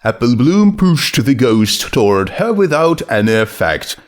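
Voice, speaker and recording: A man narrates a story from a script. He takes his time over each phrase, and his pitch moves in a lively, expressive way. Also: a little slow. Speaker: narrator reading fan fiction.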